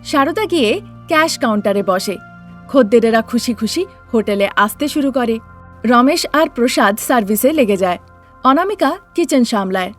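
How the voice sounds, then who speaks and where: A woman with a fairly high voice narrates a children's cartoon story. She reads from a script as a storyteller, with music playing behind her.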